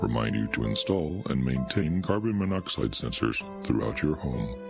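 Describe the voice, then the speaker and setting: A man's voice: a bass voice, expressive, normal speed, creaky, music in the background. A man reading a scripted public service announcement as a radio announcer.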